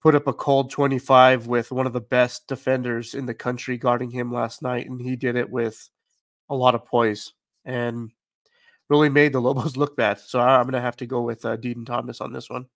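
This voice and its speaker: A man's voice: medium pitch, level tone, normal speed, gravelly, dry recording. A young man giving casual sports analysis as a podcast panelist, speaking close into a mic. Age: young adult. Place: indoors at home.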